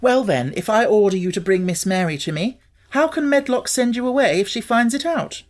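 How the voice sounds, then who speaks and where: Storybook role: sickly, imperious little master, pitched high, used to giving orders; played by a man. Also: expressive, a little fast. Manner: haughty, commanding. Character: imperious spoiled sickly rich young boy.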